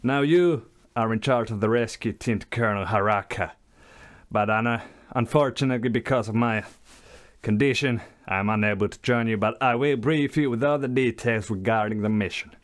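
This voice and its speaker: Actor as a loud profane drill sergeant, contemptuous; booming, reverberant room sound. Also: male voice, moderate pace, lightly acted. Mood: solemn. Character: foul-mouthed bullying American army sergeant. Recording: echoey room, long reverb.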